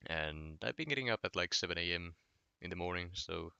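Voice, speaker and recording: Low gravelly Finnish-accented man. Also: a little slow, no room sound, lo-fi sound. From Finland. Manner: relaxed, reading chat aloud and answering. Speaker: streamer. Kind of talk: casual gaming stream chat.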